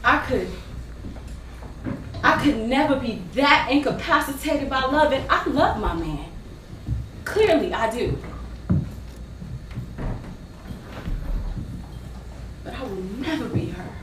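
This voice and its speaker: Stage drama: actress plays fiery betrayed woman, very high voice, slow emphatic delivery, recorded in a very reverberant space. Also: animated. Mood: scornful. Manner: dramatic, emphatic, drawn-out delivery with long pauses. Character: wronged, fiery woman confessing a best friend's secret betrayal. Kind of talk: theatrical stage monologue with singing. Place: stage in a theater hall. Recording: distant, echoey, noisy stage-play recording.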